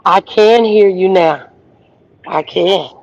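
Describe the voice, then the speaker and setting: A woman minister hosting a livestream, preaching outdoors in the rain with repetition and rising shouts. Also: mid-range voice, slow pace, dull audio. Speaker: minister and show host. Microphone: phone livestream.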